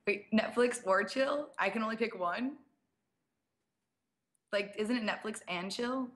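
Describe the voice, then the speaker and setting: A young woman, a DJ, chatting casually through a live-stream Q&A, slightly raspy and sprinkling her talk with "like". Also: fairly high pitch, a little slow, a bit bright. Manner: chatty, fills speech with "like" and small laughs. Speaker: DJ and producer. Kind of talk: casual live-stream Q&A, reading viewer questions.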